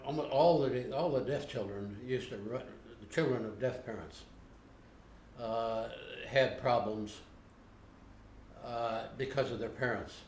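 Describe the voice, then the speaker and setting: An older man being interviewed, recalling his past in a plainspoken, unhurried way with frequent pauses. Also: medium pitch, darkish tone, slight background noise. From United States. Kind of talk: unscripted recollection. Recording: roomy home video recording.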